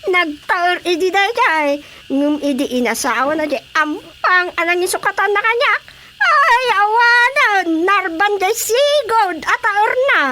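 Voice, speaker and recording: Shrill, squeaky Ilocano village gossip. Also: female voice, animated, measured pace, bright, forceful tone, a little hiss or hum, full character voice. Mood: gossipy, animated. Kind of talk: Filipino radio drama comedy acting.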